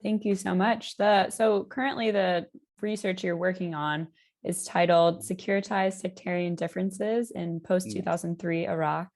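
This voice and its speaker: A woman's voice: lowish voice, steady tone, moderate pace, darkish tone. A young woman interviewing a guest, reading polite, formal questions. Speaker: interviewer, research assistant.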